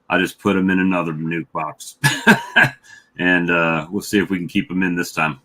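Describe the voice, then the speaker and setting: A man's voice: low voice, bright, forceful tone. A man in his fifties talking casually about his own views on a livestream with fellow hobbyists. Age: 50s. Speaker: beekeeper livestream host.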